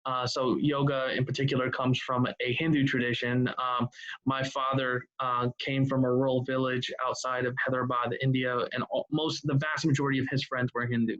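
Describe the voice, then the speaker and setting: A middle-aged American man presents over a video call in a fairly even tone. He picks his phrases deliberately, pausing often to fill gaps with ums and uhs. The audio is somewhat dull and lo-fi. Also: mid-range voice. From United States, Ohio. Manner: halting, deliberate phrasing with many filled pauses. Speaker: guest presenter, workshop leader. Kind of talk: informal online presentation.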